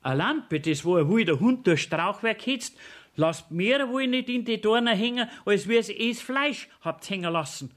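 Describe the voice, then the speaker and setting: An actor playing a sly, nosy court clerk in a Bavarian dialect radio play, probing and insinuating with mock concern. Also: male voice, sits high, expressive, moderate pace, fairly bright tone. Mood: needling.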